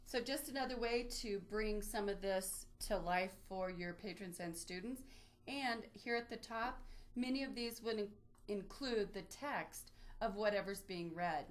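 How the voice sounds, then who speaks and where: A woman's voice: medium pitch, unhurried. A woman presenting an instructional screen-share walkthrough, explaining each step informally as a webinar trainer. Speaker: librarian presenting a database tutorial.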